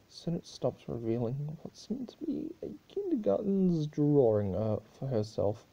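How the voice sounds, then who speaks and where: A man's voice: on the low side, mellow, slightly rough, rough recording. A man reading a story aloud as dramatic narration, an amateur who sometimes stumbles and rereads words.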